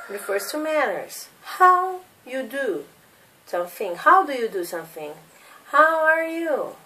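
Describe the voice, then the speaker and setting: A woman's voice: fairly high pitch, sharp tone, distant mic, slight background noise, rough recording. A woman in her thirties from Brazil with a Brazilian Portuguese accent, teaching English as a second language and drilling simple questions for learners to repeat. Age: 30s. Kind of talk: slow classroom drilling of questions.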